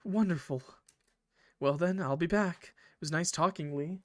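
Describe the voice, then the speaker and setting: A man voicing a polite, eloquent male train conductor villain from a visual novel, formal and measured, with quiet menace. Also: a little high, no echo, clean studio sound, natural voice. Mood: polite, pleasant. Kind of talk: visual novel character read aloud.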